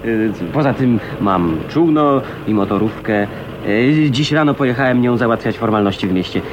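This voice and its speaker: An actor playing an easygoing, scruffy bachelor bridegroom in a radio drama, jovial and teasing, with hesitant little fillers. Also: male voice, mid-range voice, animated, normal speed, dull audio, close to an everyday voice. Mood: breezy. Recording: noisy 1980s radio recording.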